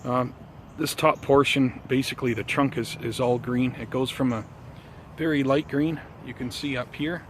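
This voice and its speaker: A man hosting a casual gardening vlog outdoors, talking informally to viewers in an unhurried, hesitant way. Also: medium pitch, fairly even intonation, a bit bright, a little hiss or hum. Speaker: host of a bonsai gardening vlog.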